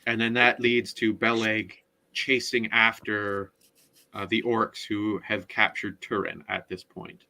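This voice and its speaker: A man recapping a story in a casual book discussion, often halting as he searches for words. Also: on the low side, flat delivery, sharp tone, poor audio. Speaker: podcast co-host.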